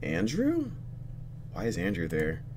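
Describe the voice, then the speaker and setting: A man playing a visual novel, reading the text aloud and thinking out loud expressively as he goes. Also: low-pitched, wildly swooping pitch, a little slow, noisy background. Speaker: let's player reacting to a visual novel. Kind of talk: gaming commentary mixed with reading game text aloud.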